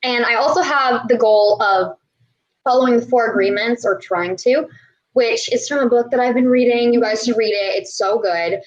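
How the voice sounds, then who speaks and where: A woman's voice: fairly high pitch, normal speed, dull audio. Friendly rambling young woman on a call. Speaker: co-host, maker. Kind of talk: casual video call chat. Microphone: webcam on a video call.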